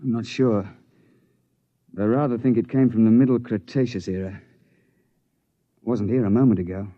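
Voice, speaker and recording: An actor playing a wry, unflappable British big-game hunter in a 1950s radio drama, speaking in clipped, matter-of-fact understatement. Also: male voice, lowish voice, fairly even intonation, moderate pace, no room sound, close to an everyday voice. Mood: shaken but composed. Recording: muffled 1950s radio broadcast, music behind.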